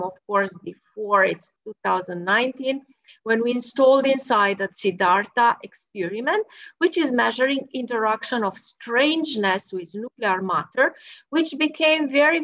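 A woman's voice: a little high, a bit bright, no echo. A woman, an experimental physicist giving a friendly, explanatory talk over slides from her office, with wry asides. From Romania. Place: her office at work, in the evening. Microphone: video call. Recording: dull lo-fi video call audio.

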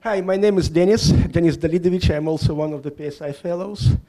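A man, a physics lecturer, giving a formal, measured self-introduction. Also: fairly high pitch, warm tone. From Russia.

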